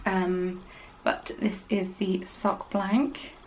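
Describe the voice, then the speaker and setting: A young woman hosting a podcast, chatting casually about her knitting in a rambling way and dropping into quiet, whispered asides. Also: medium pitch, level tone, drawn-out words, poor audio. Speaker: podcast host.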